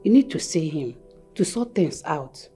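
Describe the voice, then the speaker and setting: An actress playing a tender, gently insistent mother, soothing and repetitive in a family drama. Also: low-pitched, big pitch swings, unhurried, over music. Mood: soothing, urging. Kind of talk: Nigerian family drama film acting.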